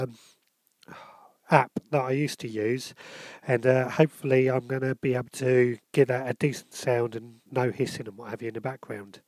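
A man's voice: medium pitch, a little mellow, no echo. A man with a bunged-up cold, hosting a casual solo podcast and rambling with lots of ums and self-corrections. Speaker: podcast host.

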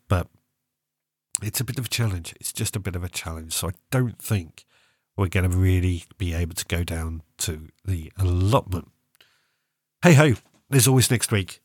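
A man's voice: low voice, moderate pace, no echo, studio quality. Congested man chatting gardening. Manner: rambling, self-correcting, chatty. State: congested, sore throat and cough. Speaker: gardening podcast host. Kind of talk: casual solo podcast chat.

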